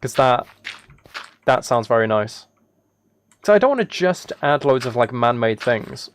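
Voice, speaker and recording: A man chatting casually as a live game streamer, musing aloud in a relaxed, low-key way. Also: unhurried, slight background noise. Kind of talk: casual chat over Minecraft gameplay.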